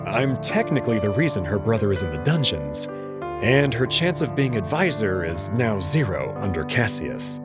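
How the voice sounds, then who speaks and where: An uneasy, stubborn young man played by a male actor tells his visual novel story in first person. His voice sits slightly low and moves expressively, introspective and hesitant, over clearly audible music. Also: sing-song, moderate pace. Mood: brooding, subdued. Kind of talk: first-person narration with acted dialogue.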